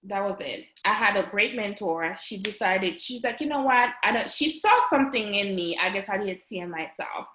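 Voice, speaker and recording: A woman in her thirties from Haiti with a Haitian accent. She talks as a guest in a casual interview, chatty and full of fillers, restarts and quick laughs. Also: medium pitch, animated, fairly bright tone. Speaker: guest, registered nurse and business owner. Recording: roomy echo.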